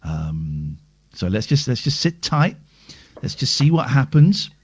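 Male radio host, rambling crude humour, animated pitch, slightly dull sound. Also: unhurried, breathy edge. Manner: rambling, crude deadpan jokes. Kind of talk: casual late-night radio chat.

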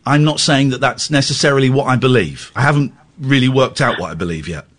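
A man's voice: medium pitch, fast talker, a bit bright. A man hosting a radio phone-in from a studio. He talks bluntly, teases his callers and interrupts them. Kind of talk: late-night phone-in chat.

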